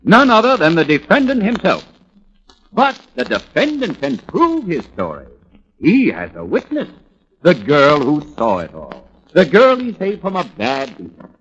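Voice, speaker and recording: An actor playing a theatrical, sneering prosecutor, commanding and rhetorical, in a 1940s radio courtroom drama. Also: male voice, on the high side, wildly swooping pitch, unhurried, a bit bright, heavily acted. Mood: sarcastic, declamatory. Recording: noisy, dull vintage radio recording.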